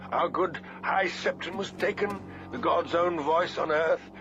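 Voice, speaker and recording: An actor playing a grave, solemn septon with emphatic old-fashioned diction, in a dramatic audiobook reading. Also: male voice, medium pitch. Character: grave male septon recalling a dreadful plague. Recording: dull lo-fi sound under clear music.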